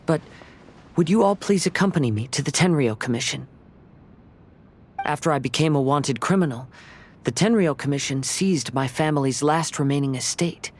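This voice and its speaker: A man playing a calm, polite young wandering swordsman in a video game, speaking gently and with measured care. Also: a little high. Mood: polite, composed.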